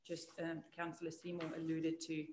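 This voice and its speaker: A woman's voice: low-pitched, monotone, moderate pace, darkish tone, a little hiss or hum. Forthright New Zealand woman in a roomy chamber. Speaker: councillor. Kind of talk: raising concerns in a meeting.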